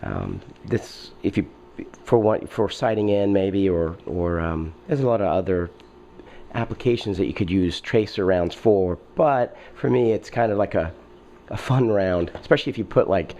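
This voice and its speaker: A man's voice: on the low side, mellow, slight background noise. Nasal man rambling with a head cold. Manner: rambling, halting, many fillers and throat clearing. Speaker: video host. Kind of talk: casual unboxing talk.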